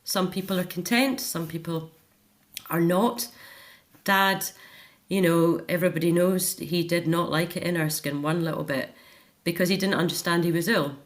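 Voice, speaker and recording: A woman's voice: fairly low pitch, steady tone, moderate pace. A middle-aged woman, speaking as an interview guest in a reflective, articulate, conversational way.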